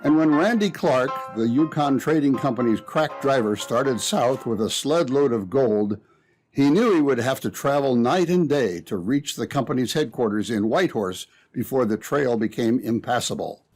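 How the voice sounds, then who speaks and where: A man's voice: mid-range voice, lively intonation, moderate pace, darkish tone, soft background music. A man announcing and narrating in a dramatic, theatrical old-time radio style.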